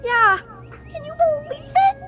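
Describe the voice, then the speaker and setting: A woman's voice: very high-pitched, lively intonation, measured pace, over loud music. A woman playing a cheerful, easygoing young unicorn mare in a cartoon audio drama, with a playful, teasing manner. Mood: playful, chatty.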